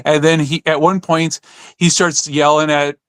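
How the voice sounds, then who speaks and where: A man's voice: on the high side, level tone, a little slow, fairly bright tone, clear, resonant tone, dry recording, clean studio sound. A man co-hosting a podcast in casual chat, with an informal, wry way of talking. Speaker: podcast co-host.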